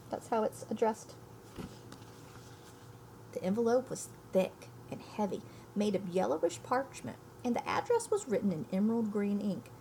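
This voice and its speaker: Animated woman reading a storybook aloud. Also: mid-range voice, a little hiss or hum, poor audio. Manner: explanatory, checks understanding with listeners. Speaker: reader reading a children's book aloud. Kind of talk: expressive read-aloud with informal explanatory asides.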